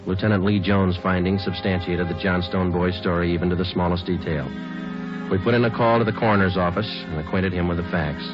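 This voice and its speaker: A man playing a deadpan, deep-voiced police detective. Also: close to an everyday voice. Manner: clipped, matter-of-fact, deadpan. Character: stern police detective narrating a case. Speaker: detective narrator. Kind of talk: 1940s radio drama narration. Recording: dull old radio broadcast with music.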